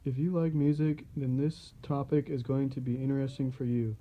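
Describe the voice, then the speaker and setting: A man's voice: mid-range voice, flat delivery, normal speed, lo-fi sound. A young man reading scripted announcements as the presenter of a 1920s-style radio show.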